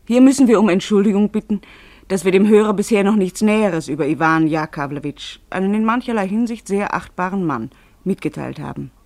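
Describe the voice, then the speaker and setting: Measured, mock-formal radio narrator, dry sound. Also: medium pitch, no echo. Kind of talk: scripted literary narration for radio.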